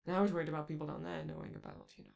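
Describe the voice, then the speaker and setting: Guy playing a glam male robot diva, sing-songy swoopy voice, gravelly edges, keeps saying darling. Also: on the high side, huge pitch swings, racing, darkish tone, heavily acted. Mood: coaxing, flirty. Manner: theatrical, coaxing, calls listener darling. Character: flamboyant glamorous male robot star, doting. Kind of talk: ASMR romantic roleplay.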